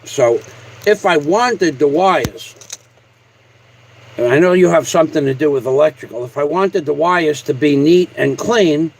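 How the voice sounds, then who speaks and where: High-pitched man on a combative livestream rant. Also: lively intonation, unhurried, vocal fry, a little hiss or hum, poor audio. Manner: combative, boastful, foul-mouthed. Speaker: live streamer addressing viewers. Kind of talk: casual livestream rant to a viewer.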